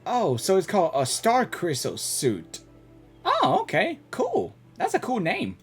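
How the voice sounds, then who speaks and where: A woman gives casual reaction commentary as a gaming streamer. Her medium-pitched voice swings very widely, and she repeats herself as she reacts aloud, with game music behind her. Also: wildly swooping pitch, unhurried.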